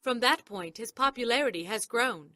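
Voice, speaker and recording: A woman's voice: fairly high pitch, expressive, normal speed, bright, forceful tone, dry recording. A woman presenting a podcast, reading scripted narration close to the microphone.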